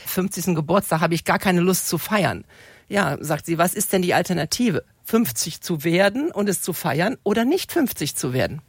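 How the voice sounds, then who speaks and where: A woman's voice: fairly low pitch, animated, moderate pace, slightly rough, no echo, studio quality. A woman in her early sixties giving reflective, conversational answers as an interview guest. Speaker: interview guest, retirement coach.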